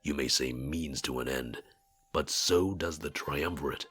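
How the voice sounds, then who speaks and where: A man voicing a stern, aloof non-human war advisor in a visual novel game, speaking formally and with measured gravity. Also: extremely low pitch, a bit bright, breathy edge, exaggerated voice. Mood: grave, reproving. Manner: formal, measured, solemn speech without contractions.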